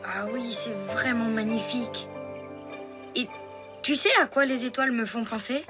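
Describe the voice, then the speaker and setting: A woman voicing an earnest, nervous, imaginative young boy in an animated series. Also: a little high, normal speed, close to an everyday voice. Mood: shy, earnest. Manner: hesitant, earnest. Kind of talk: French animated series dialogue. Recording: loud music behind the voice. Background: loud music.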